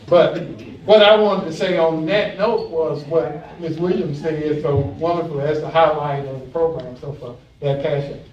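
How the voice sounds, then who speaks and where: A man's voice: a little high, fairly even intonation, moderate pace, a little mellow. A man moderating a candidate forum in a loose, chatty, joking way. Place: community recreation center hall. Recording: echoey hall from far off, dull.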